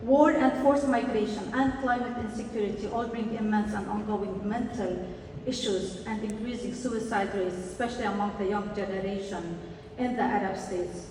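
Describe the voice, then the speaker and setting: A woman from Lebanon giving a prepared speech at a conference, earnest and measured, slowing down to stress key words. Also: medium pitch, fairly even intonation, a little mellow. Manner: earnest, measured, slows for emphasis. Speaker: party delegate giving a congress speech. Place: large conference hall. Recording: echoey hall, distant mic, faint noise.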